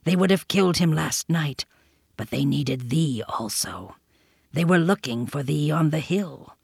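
A storybook reader voicing a male panther who speaks in a hushed, conspiratorial whisper. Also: medium pitch, moderate pace, creaky, husky, no room sound, clean studio sound. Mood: urgent. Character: sly protective male panther.

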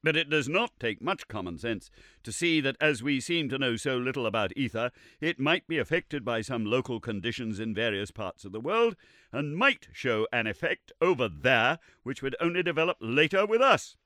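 A man's voice: medium pitch, big pitch swings, normal speed, sharp tone, dry recording, studio quality, pitched above the performer's own voice. Man doing an earnest young journalist. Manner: earnest, protesting.

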